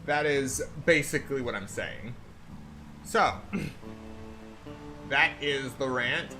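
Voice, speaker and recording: A man giving live game stream commentary in a deliberate, halting way. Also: mid-range voice, expressive. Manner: deliberate, halting phrasing with frequent throat clearing. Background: faint game music.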